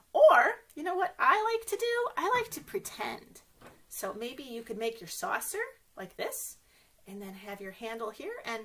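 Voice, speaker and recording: A woman with a high, bright voice leads a story time for small children. Her delivery is very lively and sing-song, swinging widely in pitch. She talks directly to the kids and often turns to them with questions. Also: a little hiss or hum. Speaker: children's librarian reading stories to kids. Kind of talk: read-aloud story time for young children.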